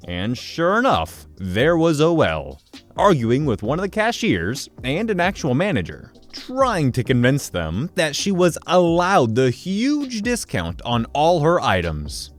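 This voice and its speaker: A man reads an online story aloud, with his pitch swinging widely as he tells it. Soft music plays behind him. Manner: animated storytelling. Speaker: story narrator. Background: soft background music.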